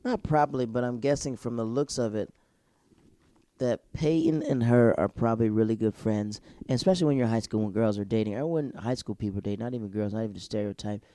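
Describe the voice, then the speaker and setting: Brief: young male solo podcast host, loose and slangy, mellow dark tone, dry close sound. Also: on the low side, animated, fast talker, no room sound. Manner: rambling, digressive, slangy. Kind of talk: casual TV episode review.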